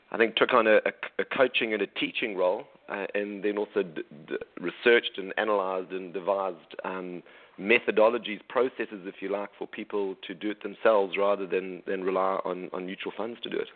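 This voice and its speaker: A middle-aged South African man with a South African accent, an author and investment coach calling in by phone, talking in a halting way with many restarts. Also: low voice, steady tone. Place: calling from home in Australia. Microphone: telephone. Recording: tinny phone line.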